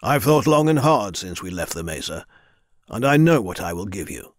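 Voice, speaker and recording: A man voicing a stern, powerful lord who speaks in a measured, formal way. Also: fairly low pitch, big pitch swings, no echo, studio quality.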